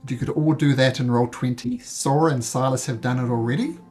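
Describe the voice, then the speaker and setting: A man's voice: medium pitch, fairly even intonation, fairly quick, darkish tone, faint music behind. A man from New Zealand with a New Zealand accent tinged with North American, speaking earnestly as dungeon master over a voice call, with frequent ums and restarts. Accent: New Zealand mixed with North American. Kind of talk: explaining game rules to players. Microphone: voice call microphone.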